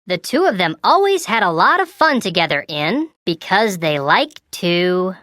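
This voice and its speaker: Bright, animated Christmas elf storyteller. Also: female voice, lowish voice, normal speed, no echo, studio quality. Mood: bright storytelling. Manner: perky, animated, exaggerated storybook delivery. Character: cheerful little Christmas elf toy telling fill-in-the-blank stories. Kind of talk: scripted children's storytelling and toy prompts.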